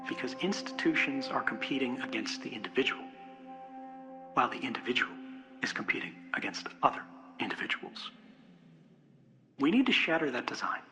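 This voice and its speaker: A man giving an earnest, halting political speech to a room, often posing rhetorical questions. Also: mid-range voice. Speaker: public speaker. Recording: loud music under a speech. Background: loud background music.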